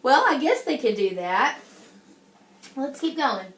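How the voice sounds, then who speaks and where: Lady teacher doing a storytime for little kids, high voice, encouraging, lots of questions, roomy echo. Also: sing-song, a little slow. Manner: encouraging, addresses the class as guys, frequent check-in questions. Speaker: teacher reading a picture book to young children. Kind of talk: interactive classroom read-aloud with questions and praise. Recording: echoey room.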